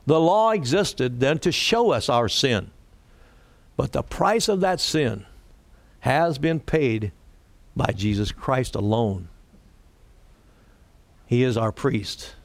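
A man preaching a sermon and leading a prayer, his voice raspy today, speaking deliberately and rising for emphasis. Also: mid-range voice, lively intonation, dry recording. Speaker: pastor.

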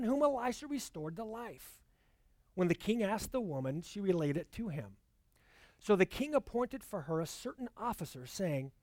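A man preaching a sermon and reading scripture aloud in an earnest, measured way, slowing down on key words. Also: sits high, a little mellow, dry recording.

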